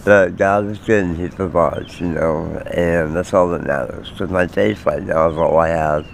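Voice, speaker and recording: An American man in his forties giving halting, candid personal testimony in a street interview. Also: low voice, steady tone, vocal fry, slight background noise. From Maryland, United States. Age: 40s. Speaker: homeless interviewee.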